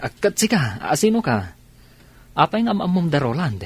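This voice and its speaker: An actor with an Ilocano accent playing a bashful, earnest village driver in a radio drama. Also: male voice, fairly high pitch, very animated, moderate pace, husky, no room sound.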